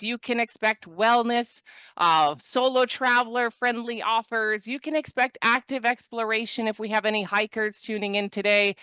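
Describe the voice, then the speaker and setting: Woman from Norway, travel presenter on a video call. Crisp, clean delivery in mid register, informal and upbeat with wry asides, no room echo. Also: medium pitch, sharp tone. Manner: informal, enthusiastic, joking asides. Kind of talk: promotional virtual presentation.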